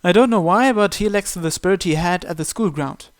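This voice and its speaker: A man with a fairly high voice narrates a story in the first person. He reads expressively and dramatically, with the odd stumble, and the sound is dry with little room echo. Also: fairly quick. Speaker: video game player reading a visual novel aloud. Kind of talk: dramatic read-aloud narration.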